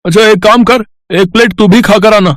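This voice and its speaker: A man voicing a chatty, genially bossy employer in an animated storybook tale. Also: high-pitched, moderate pace, no echo, studio quality, voice pushed up. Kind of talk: animated storybook cartoon dialogue.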